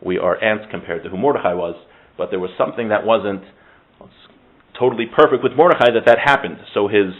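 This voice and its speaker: A man's voice: on the low side, phone-quality sound. A man teaching an informal religious class, making his points with emphatic repetition and rhetorical questions to his students.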